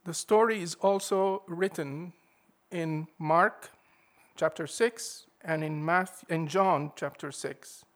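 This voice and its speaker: A man preaching a sermon in a measured, emphatic way, leaning hard on key words. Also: a little high, a bit bright.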